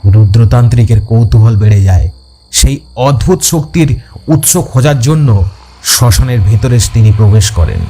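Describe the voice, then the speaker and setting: A male storyteller narrates a scripted supernatural tale. His voice is mid-pitched and dark, with music playing underneath.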